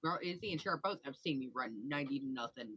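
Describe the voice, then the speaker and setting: Guy co-host on a stream, projects a lot, bright voice, kinda muffled mic. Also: quick pace, a little hiss or hum. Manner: says he projects, bassy and animated when excited. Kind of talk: casual group chat.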